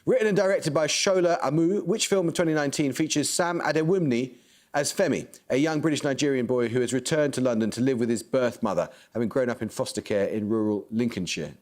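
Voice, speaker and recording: A man runs a quiz show, reading each question in a brisk, formal way. His voice sits in an ordinary middle pitch. Every word is precise and measured. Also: a little mellow. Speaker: quizmaster.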